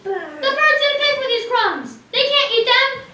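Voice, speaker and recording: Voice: extremely high pitch, sing-song, measured pace, sharp tone, full character voice. A young-sounding performer voicing a stingy, jealous, complaining baker in a children's puppet show, recorded in an echoey space from a distance. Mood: indignant. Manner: indignant, complaining. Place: community puppet show in a roomy venue. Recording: very echoey, distant, faint noise.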